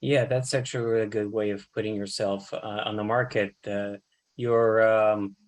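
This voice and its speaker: A man with a fairly low voice hosts a podcast. He introduces the subject and puts questions to his guest in an interview format. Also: flat delivery, moderate pace. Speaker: podcast host.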